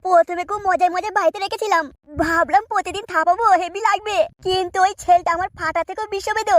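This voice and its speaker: A woman voicing whining, excitable, crude village men in a comic cartoon. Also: very high voice, quick pace, no room sound. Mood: troubled, complaining. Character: squeaky, excitable comic male village fellows.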